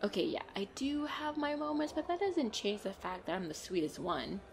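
A woman playing a playful, excitable girlfriend in a chatty roleplay audio, with a bubbly, expressive delivery. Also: medium pitch, moderate pace, creaky, slight background noise. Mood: excited, teasing. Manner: bubbly, expressive, frequent giggles and exclamations. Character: playful, excitable, pleading girlfriend out costume shopping.

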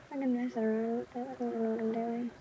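A woman's voice: fairly high pitch, narrow, tinny audio. A young woman joking around in front of her webcam in a small room, rambling in a silly way about how she looks. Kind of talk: playful webcam monologue with face filters. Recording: noisy webcam recording.

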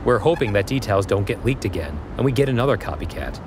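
A man's voice: fairly low pitch, lively intonation, fast talker. A man playing a weary, guarded police detective in an audio drama, speaking in an official way. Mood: wary. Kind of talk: naturalistic audio drama acting. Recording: noisy outdoor street ambience behind.

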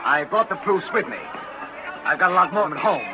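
An actor playing a self-righteous middle-aged storekeeper in a 1930s radio play, speaking indignantly. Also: male voice, sits high, expressive, brisk, a crowd in the background. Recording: muffled 1930s radio recording.

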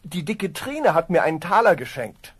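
An actor playing a lazy, naive young peasant son in a fairy-tale audio drama, with a carefree, drawling delivery. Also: male voice, fairly high pitch, very animated, moderate pace, breathy edge. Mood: sheepish.

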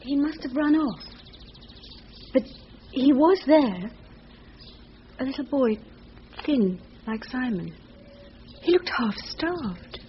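A high-pitched British woman in a radio thriller, a grieving and anxious wife. She speaks in fretful, hesitant phrases that repeat. The recording is muffled, with faint noise. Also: animated, takes it slowly, pauses often. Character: anxious, grieving young mother and wife, easily frightened.

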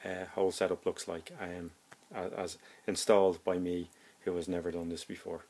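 A middle-aged man giving a casual, halting DIY demonstration as a hobbyist, thinking aloud as he goes. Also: sits low, level tone, a little mellow. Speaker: hobbyist presenter.

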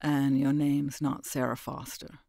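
Cold American man in his forties, quiet low menace, clipped, put on by a reader. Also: flat delivery, measured pace, gravelly, no echo, clean studio sound. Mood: menacing.

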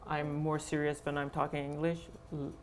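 A man whose first language is Farsi speaks earnestly on a theatre panel, pausing to find his words. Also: a little high, level tone, slight background noise. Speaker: panel guest, arts curator. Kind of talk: panel talk on stage. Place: small theatre stage with audience.

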